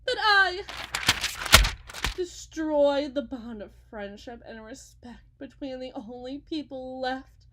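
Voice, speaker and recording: A woman playing an unsettling, fervent faith healer and self-styled prophet in a dramatic roleplay monologue, sweetly coaxing and pleading, stretching her words and dropping into hushes. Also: sits high, lively intonation, slight background noise, full character voice. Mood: wounded, indignant. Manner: theatrical, pleading, sing-song preaching with drawn-out words and sudden hushes.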